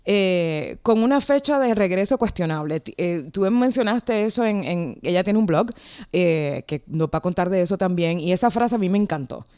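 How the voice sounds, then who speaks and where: A woman's voice: lowish voice, lively intonation, moderate pace, darkish tone, dry recording. Puerto rican lady hosting her podcast, chatty and friendly, dry close sound. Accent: Puerto Rican Spanish. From Puerto Rico. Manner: friendly, chatty, laughs easily. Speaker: podcast host. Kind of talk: casual interview chat. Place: at home at night.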